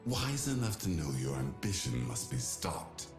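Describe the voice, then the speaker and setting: Super deep stern old guardian god, game voice, solemn, echoey. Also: male voice, sing-song, heavily acted. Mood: stern reproach. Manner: solemn, measured. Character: grave, wise male jackal god, stern old guardian. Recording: echoing voice over music.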